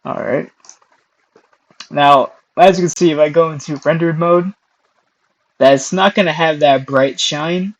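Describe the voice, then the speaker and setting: A young man hosting a casual screen-recorded software tutorial, hoarse and losing his voice, clearing his throat often between steps. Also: fairly high pitch, fairly even intonation, measured pace, long pauses, low-quality recording. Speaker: tutorial host.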